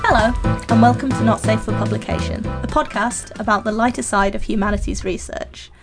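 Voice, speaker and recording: A woman's voice: fairly high pitch, fairly even intonation, a little fast, over music. A woman hosting a humanities research podcast, interviewing a guest in a chatty, laughing way. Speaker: podcast host. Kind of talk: casual podcast chat.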